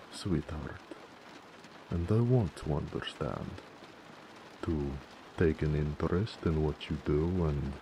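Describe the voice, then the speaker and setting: Deep, slow, gentle orc blacksmith played by a man. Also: slight background noise, full character voice. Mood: earnest, tender. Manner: soft-hearted, hesitant, with pauses and hedging. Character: gentle, bashful, affectionate male orc blacksmith, homebody. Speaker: voice actor in romance audio roleplay. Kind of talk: intimate romantic audio roleplay acting.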